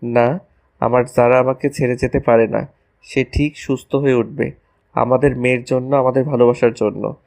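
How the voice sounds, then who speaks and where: A man's voice: mid-range voice, fairly even intonation, moderate pace, creaky, darkish tone, lightly acted. A man playing a devoted young husband in a romantic audio story, tender, earnest and emotional. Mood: desperate, prayerful. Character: anxious, devoted young husband and new father.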